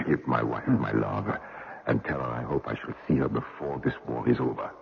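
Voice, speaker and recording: An actor playing an imprisoned French general, resolute and concerned, with a deep gravelly voice in a radio drama. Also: male voice, expressive, moderate pace, full character voice. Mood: secretive concern. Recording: muffled, noisy old radio broadcast.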